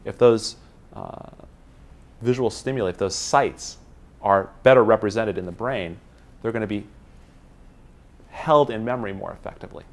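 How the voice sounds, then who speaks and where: Male scientist, interview, explanatory with hesitations, lowish voice. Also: moderate pace, long pauses. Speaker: neuroscientist. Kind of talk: expert interview.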